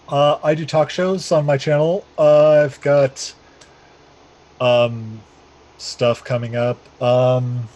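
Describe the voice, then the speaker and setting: A man player in a tabletop game stream, talking casually and haltingly. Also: on the high side, a little mellow, rough recording. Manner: halting, lots of fillers and restarts. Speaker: player and talk show streamer. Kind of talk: casual chat.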